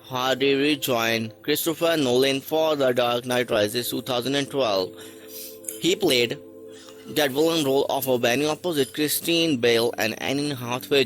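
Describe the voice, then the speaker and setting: Guy reading a list script kinda haltingly, restarts a lot, faint music underneath. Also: mid-range voice, fairly bright tone, low-quality recording. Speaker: narrator. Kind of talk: read-aloud list narration.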